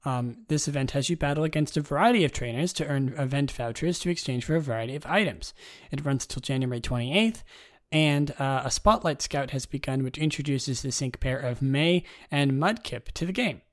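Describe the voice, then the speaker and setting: A young man with a raspy voice hosting his own podcast, talking in a relaxed, rambling way and reading out game news. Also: fairly quick. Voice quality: raspy, low tonight. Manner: relaxed and rambling, many ums and restarts. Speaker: podcast host.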